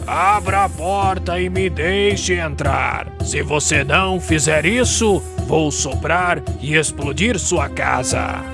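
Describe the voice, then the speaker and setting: Gravelly, slow big bad wolf. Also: male voice, fairly high pitch, animated, heavily acted. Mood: menacing. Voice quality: gravelly, creaky. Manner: slow, threatening. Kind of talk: children's cartoon character acting. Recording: music under the voice.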